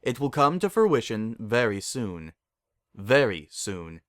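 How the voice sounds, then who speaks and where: A man's voice: lowish voice, big pitch swings, takes it slowly, a bit bright, no echo, exaggerated voice. Guy doing a bossy royal night pony princess, formal old-timey talk, storybook style, very dry recording. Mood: measured, regal. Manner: formal archaic phrasing, commanding. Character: stern, imperious pony princess of the night, female.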